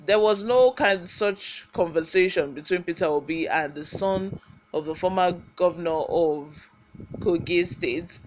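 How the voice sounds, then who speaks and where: Higher-pitched Nigerian man presenting news. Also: poor audio. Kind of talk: scripted news narration with informal commentary.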